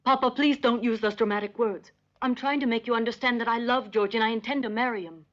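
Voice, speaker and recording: An actress playing a defiant, pleading young daughter in love in a western film. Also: female voice, on the high side, steady tone, quick pace, close to an everyday voice. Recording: dull old film soundtrack.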